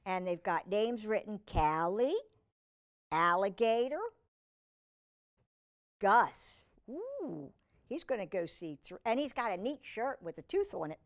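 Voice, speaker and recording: A woman's voice: medium pitch, slow, long pauses. A woman reading a picture book aloud to young children, speaking expressively and putting questions to the listener. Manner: expressive, asks the listener questions, simple child-directed wording. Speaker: children's storyteller and humane educator.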